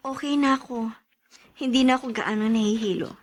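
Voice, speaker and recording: An actress playing a patient, weary young wife in a radio drama. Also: female voice, on the high side, fairly quick, natural voice. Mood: conversational.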